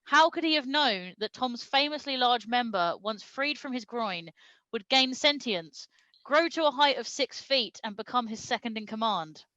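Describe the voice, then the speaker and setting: Bright-voiced woman reading comedy, slightly lo-fi. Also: on the high side, animated, normal speed, dry recording. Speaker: reader of comic fan fiction at a live stream show. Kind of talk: story reading with comic asides.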